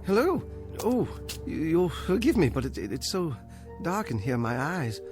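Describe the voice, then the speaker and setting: A man's voice: a little high, big pitch swings, normal speed, music in the background. An actor playing a courteous, hesitant older male librarian, flustered and stammering, in an audio drama. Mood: flustered, polite. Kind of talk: full-cast audio drama acting.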